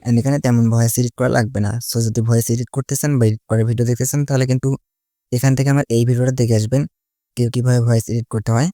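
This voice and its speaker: Quick-talking man, mellow voice. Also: medium pitch, little pitch movement, no room sound, studio quality. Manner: repetitive connective phrases, mixes English words into Bengali. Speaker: tutorial host. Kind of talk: casual step-by-step screen tutorial.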